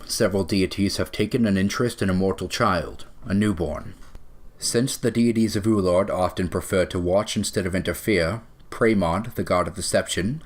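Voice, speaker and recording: A male narrator with a deep voice reads scripted storytelling. His delivery is that of a narrator setting scenes for an audio drama.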